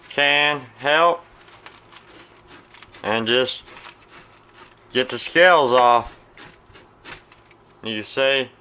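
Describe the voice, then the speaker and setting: A man in his thirties with a Southern American accent, casually walking viewers through cleaning and pan-frying a fish in his home kitchen, recorded on a phone. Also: medium pitch. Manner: folksy, relaxed, talks to viewers as "guys". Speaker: cooking channel host. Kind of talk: casual cooking demonstration. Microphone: phone camera.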